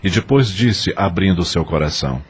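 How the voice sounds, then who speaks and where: A man's voice: low voice, lively intonation, moderate pace, breathy edge. A man reading scripted dramatic narration as the narrator of an audio drama.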